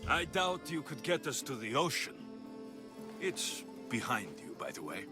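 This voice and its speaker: A sceptical, dryly teasing male mercenary from an ancient Greek adventure game. His pitch sits mid-range but moves a lot as he jabs, and music plays clearly behind him. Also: very animated, measured pace. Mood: sardonic. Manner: dry, sardonic. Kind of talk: video game cutscene acting.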